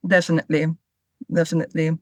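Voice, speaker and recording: A young woman from Cyprus, a native Turkish speaker, answering interview questions in a thoughtful, measured way. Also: deep voice, level tone, no echo. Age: young adult. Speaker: guest, travel writer.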